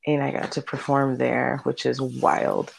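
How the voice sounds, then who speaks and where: A woman's voice: a bass voice, little pitch movement, unhurried, vocal fry, slightly rough, rough recording. A woman in her thirties, a native Spanish speaker, chatting informally as an interview guest and telling stories as an opera soloist. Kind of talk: casual conversational storytelling.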